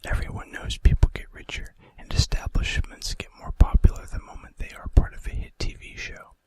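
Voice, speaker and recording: A man's voice: brisk, darkish tone. Whispering male ASMR host. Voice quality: breathy unvoiced whisper. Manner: casual, conversational asides. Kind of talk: ASMR, whispered fact narration.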